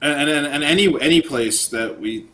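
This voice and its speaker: A man's voice: fairly high pitch, moderate pace, sharp tone. A man co-hosting a podcast who speaks thoughtfully and stammers when starting his points. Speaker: podcast host. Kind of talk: casual chat.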